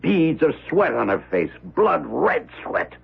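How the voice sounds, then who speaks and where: An actor playing a rich, domineering old man who barks orders and insults in a gruff radio drama style. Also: a little high, very animated, slow pace, muffled recording, exaggerated voice. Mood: furious, commanding. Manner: barking orders, insulting, imperious. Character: rich, gray-haired, domineering old miser, quick to rage. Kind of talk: 1970s radio drama acting.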